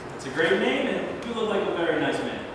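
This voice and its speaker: A man's voice: high-pitched, very animated, fairly quick, recorded from a distance. A man playing a genial, commanding president who is out incognito, in a staged reading recorded from afar in an echoey, noisy hall. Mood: genial, courteous.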